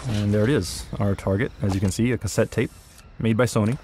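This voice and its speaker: A man's voice: lowish voice, steady tone, fairly quick, a little hiss or hum. A man presenting a live gameplay demo, explaining moves and options in an instructional way. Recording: loud music behind the voice.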